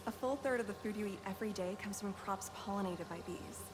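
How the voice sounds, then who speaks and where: An actress playing a precise, polished scientist. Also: female voice, mid-range voice, quick pace, noisy background, close to an everyday voice. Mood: explanatory. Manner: clear, explanatory, professional. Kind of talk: video game cutscene acting.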